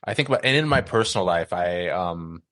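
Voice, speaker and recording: A man co-hosting a podcast in casual chat, talking in a halting, rambling, hedging way. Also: low voice, expressive, a bit bright, no echo, studio quality. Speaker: podcast co-host.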